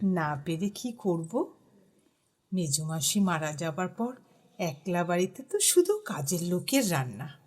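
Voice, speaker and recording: An actress playing a doting, chatty elder sister in an audio drama, affectionate and fussing. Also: female voice, fairly low pitch, big pitch swings, slow pace, a little mellow, slightly rough, full character voice. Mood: chatty, teasing. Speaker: supporting character.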